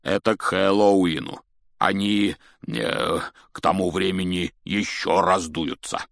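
A man voicing a big, good-natured giant groundskeeper for a storybook, with a gruff, folksy, blunt way of talking. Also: low voice, slow, fairly bright tone, no echo, studio quality, full character voice. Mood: cheerful. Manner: hesitant, folksy, teasing, blunt.

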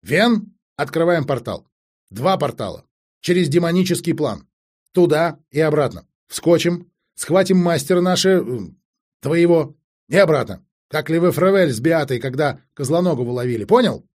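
A man's voice: on the high side, big pitch swings, moderate pace, darkish tone, husky. A man voicing a young sorceress who is haughty and scornful, scolding and giving commands in an audiobook fantasy story. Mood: urgent, commanding.

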